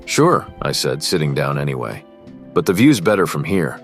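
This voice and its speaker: A man playing a cocky rich high school boy who is quietly smitten, narrating and speaking in a teen romance drama. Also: very animated, moderate pace, soft background music, heavily acted. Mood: teasing, confident. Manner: smug, cool, guarded. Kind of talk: first-person narration and dialogue in a teen romance.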